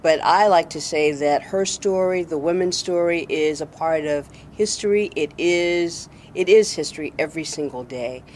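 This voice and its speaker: An American woman interviews a guest in a deep, low voice. Her phrasing is deliberate and formal, like a show host's. The recording carries ambient noise. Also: a little mellow. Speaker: talk show host, interviewer.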